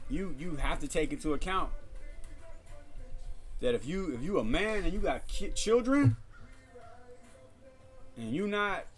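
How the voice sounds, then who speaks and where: A man's voice: on the high side, very animated, unhurried, long pauses, faint music behind. Preacherly man building emphatic repetitions. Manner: cites scripture. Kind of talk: casual podcast conversation.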